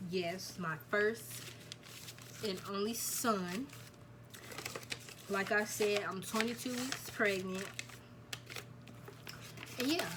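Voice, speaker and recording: A woman's voice: mid-range voice, background noise. A nineteen-year-old woman, chatting casually to camera and repeating herself, telling viewers "y'all" about her news. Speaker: vlogger. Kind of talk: casual storytime chat to camera.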